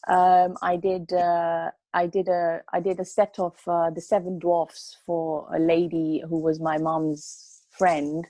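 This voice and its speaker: Slow, low-voiced woman chatting casually. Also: level tone, no room sound. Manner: hesitant, many fillers, slow and soft. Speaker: co-host and artist. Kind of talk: casual chat.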